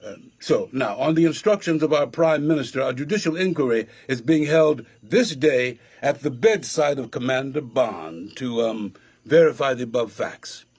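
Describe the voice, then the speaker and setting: A man acting a pompous, genial Jamaican Supreme Court judge in a radio drama, formal and halting in his delivery. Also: medium pitch, expressive, darkish tone, breathy edge.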